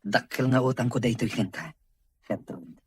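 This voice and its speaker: An actor plays an earnest, grateful man recovering as a patient in an Ilocano radio drama. His voice is very breathy and rough, at a middling pitch. The accent is Filipino and the sound is dry and close. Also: normal speed, darkish tone, dry recording. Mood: grateful, subdued.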